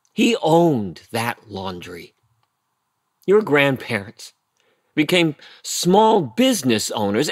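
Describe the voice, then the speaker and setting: Stern middle-aged dad, indignant and blunt, swooping emphatic delivery, close dry sound. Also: male voice, a little high, fairly bright tone, dry recording, full character voice. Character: stern, indignant immigrant-family father, engineer. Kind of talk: audio play acting.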